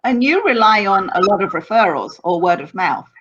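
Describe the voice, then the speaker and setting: A woman's voice: mid-range voice, big pitch swings, normal speed, fairly bright tone, slight background noise. Female interviewer, mid-range voice, conversational questioning, slightly muffled lo-fi recording. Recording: dull, lo-fi sound.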